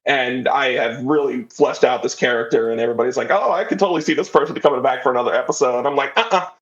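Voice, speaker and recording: A man's voice: mid-range voice, fast talker, lo-fi sound. A man speaking casually as a podcast guest, a writer chatting about his creative work and slipping in quoted asides. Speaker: interview guest, writer and audio drama creator.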